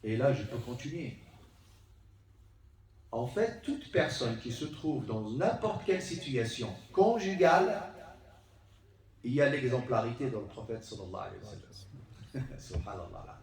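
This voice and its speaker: A man's voice: medium pitch, big pitch swings, darkish tone. Guy preaching in a mosque, really echoey, bit far from the mic, emphatic and repeats stuff. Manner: emphatic, rhetorical questions and deliberate repetition. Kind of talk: sermon in a mosque, teaching. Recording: roomy echo, distant microphone.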